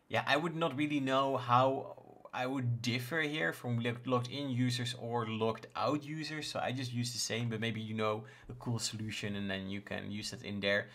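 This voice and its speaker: A man in his thirties from the Netherlands, a native Dutch speaker with a Dutch accent, casually presenting a software tutorial. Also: mid-range voice. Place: indoors in a home room, screen recording with webcam.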